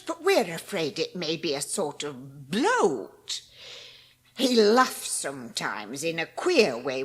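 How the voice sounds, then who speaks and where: A woman's voice: lowish voice, breathy edge, exaggerated voice. An actress playing a flustered, deferential English housekeeper in a dramatised audiobook, hesitant and anxious as she explains herself.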